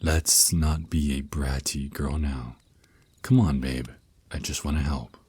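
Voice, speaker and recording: A man's voice: extremely low pitch, steady tone, husky, heavily acted. A man voicing a teasing, protective vampire naga boyfriend who mentors his lover in an intimate, reassuring ASMR roleplay. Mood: teasing, smug. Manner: soft teasing endearments, reassuring, unhurried. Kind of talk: ASMR roleplay, intimate one-sided dialogue.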